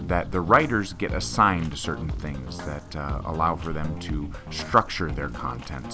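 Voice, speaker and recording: A male podcast host talks informally, as if chatting to regular listeners. His voice is extremely deep, and music plays clearly behind him. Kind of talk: informal spoken introduction.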